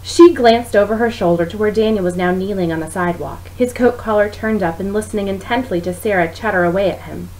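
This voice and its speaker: A woman narrates a story expressively, like an author reading her own book. Her pitch sits in the middle for a woman. There is noise behind her in what sounds like a home recording. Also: fast, hardly any pauses, darkish tone, poor audio.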